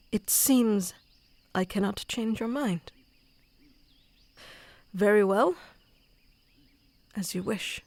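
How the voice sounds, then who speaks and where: Woman playing a slow-spoken, obsessive female fox spirit. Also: medium pitch, dry recording. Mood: crushed, cold. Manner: intimate, theatrical, swinging between hushed and pleading. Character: obsessive, clingy, powerful female kitsune fox spirit familiar. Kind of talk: ASMR audio roleplay.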